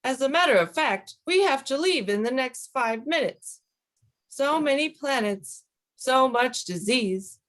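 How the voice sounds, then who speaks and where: A woman's voice: high-pitched, lively intonation, a little slow, fairly bright tone. A woman voicing a polite, formal alien visitor. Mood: courteous.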